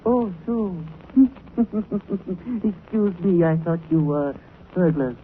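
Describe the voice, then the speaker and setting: High-voiced scheming villain, stilted broken English, oily courtesy, thin scratchy vintage radio sound. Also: male voice, expressive, very slow, narrow, tinny audio, full character voice. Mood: wary, polite. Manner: stilted, clipped broken English, over-polite. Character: scheming, falsely polite foreign antiques-dealing villain. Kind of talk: 1940s radio drama acting. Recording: scratchy, band-limited 1940s radio recording.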